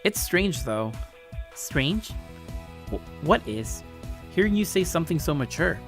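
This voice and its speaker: A man's voice: a little high, big pitch swings, unhurried, soft background music, voice pushed up. A man voicing a short, shy, easily flustered young story character with a hesitant stammer. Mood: hesitant surprise. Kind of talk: acted story dialogue.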